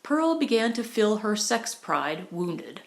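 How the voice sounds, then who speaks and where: A woman's voice: mid-range voice, sing-song, slow pace, vocal fry. A woman reading a novel as an audiobook narrator, in a scripted storytelling style. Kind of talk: scripted narration of a 1920s romantic comedy novel.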